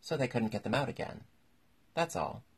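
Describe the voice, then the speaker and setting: An impatient, bossy gryphon from a storybook, acted out by a man. The voice is husky and creaky, with blunt, matter-of-fact orders. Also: mid-range voice, lively intonation, moderate pace, heavily acted. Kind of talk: storybook character voice.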